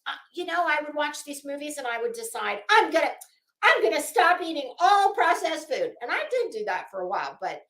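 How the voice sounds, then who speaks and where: A woman's voice: sits high, big pitch swings, brisk, a bit bright, echoey room. A woman hosting a casual livestream, chatting to viewers in a rambling, opinionated, emphatic way. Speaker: livestream host.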